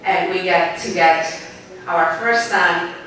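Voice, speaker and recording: A woman in her forties from Bangladesh with a Bangladeshi accent, giving a heartfelt, halting speech as a newspaper editor at a formal event. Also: lowish voice, fairly bright tone. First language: Bengali. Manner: halting, heartfelt, loose grammar. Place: large banquet hall. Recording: echoey hall, distant mic, faint room noise.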